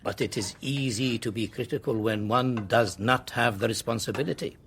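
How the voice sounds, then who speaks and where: An actor playing a suave, faintly menacing Syrian minister in a radio drama, husky and formally polite. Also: male voice, mid-range voice, normal speed, fairly bright tone, a little hiss or hum. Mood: suave, pointed.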